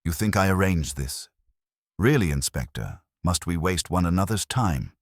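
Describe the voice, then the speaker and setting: Guy reading as a haughty composed lady suspect, cool sardonic bite, very deep husky voice. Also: lively intonation, moderate pace, no echo, clean studio sound. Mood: sharp, bitter. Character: composed, sharp-tongued, proud woman suspected of deception.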